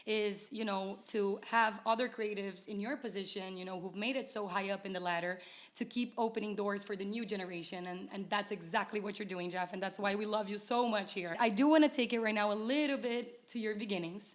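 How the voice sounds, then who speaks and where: A woman's voice: medium pitch, brisk. Enthusiastic young woman interviewer. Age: young adult. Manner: enthusiastic, affirming. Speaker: interview host, designer. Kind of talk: interview conversation.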